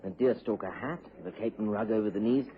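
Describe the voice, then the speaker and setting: A man's voice: lowish voice, brisk, natural voice. A man playing an earnest, reassuring hospital orderly in a vintage radio drama. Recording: tinny, faintly hissy old radio recording.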